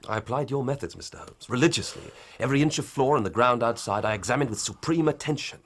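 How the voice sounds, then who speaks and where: A man's voice: mid-range voice, fast, lightly acted. An actor playing an earnest, eager male police inspector reporting his findings in a radio drama.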